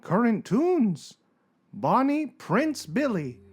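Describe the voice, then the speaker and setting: Man voicing a slow, fussy, melancholy cartoon blogger. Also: very high-pitched, very animated, breathy edge, full character voice. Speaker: performer in a podcast dramatic reading. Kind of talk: dramatic reading of a comic blog.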